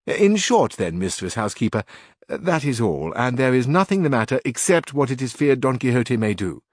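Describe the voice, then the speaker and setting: A man's voice: mid-range voice, sing-song, normal speed, no echo, studio quality, voice pushed up. A man doing a teasing learned bachelor. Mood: wry. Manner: wry, reassuring and slightly mocking.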